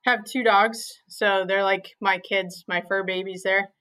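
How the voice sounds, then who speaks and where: A woman's voice: medium pitch, fairly even intonation, measured pace, sharp tone. A young woman from Maine, a physical therapist, answering interview questions plainly with frequent "um"s. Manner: plain, many "um"s, simplifies explanations.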